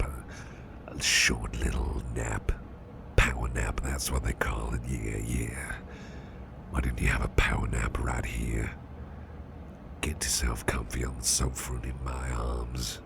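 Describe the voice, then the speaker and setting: A man playing a gentle, protective boss in an ASMR roleplay, speaking in a soft, breathy, soothing near-whisper with an unhurried manner. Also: a bass voice, noisy background, exaggerated voice. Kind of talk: ASMR roleplay, close personal attention.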